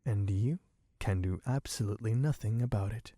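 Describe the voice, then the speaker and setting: A man playing a sadistic, possessive vampire in an ASMR roleplay, speaking in a taunting, condescending, deliberate way. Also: on the low side, dry recording, studio quality, lightly acted. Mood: smug, taunting. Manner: taunting, condescending, slow and deliberate with soft chuckles. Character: sadistic, possessive male vampire toying with human captive.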